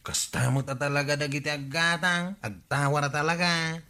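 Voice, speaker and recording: An actor with a Filipino accent playing a carefree young nephew in a radio comedy drama. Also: male voice, a little high, steady tone, moderate pace, pushed and bright. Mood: easygoing. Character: carefree young nephew sent on store errand.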